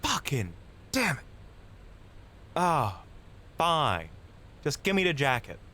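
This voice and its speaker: A man's voice: a little high, huge pitch swings, slow, pushed and bright, a little hiss or hum, heavily acted. A man playing a pushy, taunting, slangy street punk in an audio roleplay. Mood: frustrated. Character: cocky, bullying male street punk mugger.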